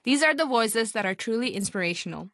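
A woman's voice: a little high, lively intonation, fairly quick, a bit bright, dry recording, studio quality. A woman hosting a community radio show, giving upbeat scripted introductions and interviewing a guest. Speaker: host.

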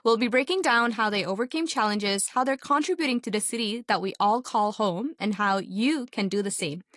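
A woman's voice: medium pitch, moderate pace, fairly bright tone, no echo, clean studio sound. A woman hosting a radio talk show, upbeat and articulate. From Pakistan. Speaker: host.

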